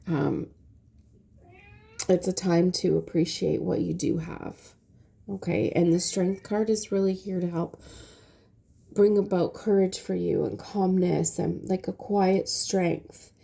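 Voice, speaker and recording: A woman with a stuffy nose giving a casual tarot reading, speaking softly and hesitantly with many pauses and fillers. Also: fairly low pitch, fairly even intonation, gravelly. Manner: soft, hesitant, many ums. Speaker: tarot reader.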